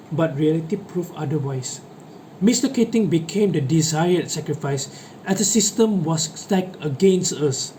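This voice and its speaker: A man's voice: high-pitched, a little mellow. A man playing a guilt-ridden schoolboy, noisy recording. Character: guilt-ridden schoolboy defending his betrayal of friends. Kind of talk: dramatic monologue, reader's theatre. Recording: noticeable background noise.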